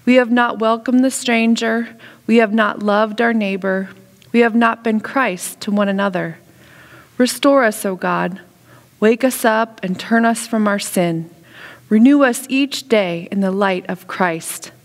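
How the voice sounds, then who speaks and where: Slow, solemn woman pastor in a church. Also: on the high side, long reverb tail. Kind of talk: liturgical confession and prayer. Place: church sanctuary, indoors.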